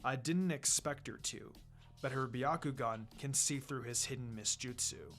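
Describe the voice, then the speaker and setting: A man voicing a calm, weary, commanding ninja teacher in a measured, matter-of-fact way, for a story reading. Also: mid-range voice, soft background music, voice pushed down, natural voice.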